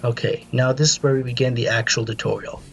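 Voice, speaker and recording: Man narrating a software tutorial, mid-pitched. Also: flat delivery, fast, vocal fry, faint music behind. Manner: casual, instructional. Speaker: tutorial presenter.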